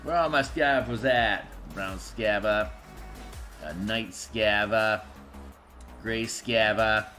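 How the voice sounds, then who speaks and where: Raspy tired man streaming his work. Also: on the low side, fairly even intonation, slow pace, pushed and bright, soft background music. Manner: rambling, many fillers, mutters to himself while working. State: tired, just woke up. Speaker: streamer making game art. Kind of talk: casual live-stream chat while working.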